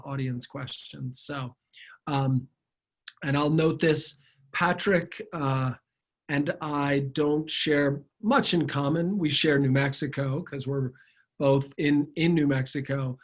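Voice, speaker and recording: A man moderating a panel over a video call, speaking casually with halting pauses. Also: mid-range voice, fairly even intonation. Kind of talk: webinar moderation.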